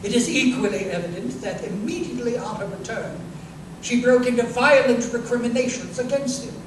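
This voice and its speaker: An actor playing an incisive, self-assured detective in a live 1930s-style radio drama, speaking precisely and emphatically. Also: male voice, very high-pitched, fairly quick. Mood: brisk, probing. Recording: distant, echoey live stage recording, noisy room.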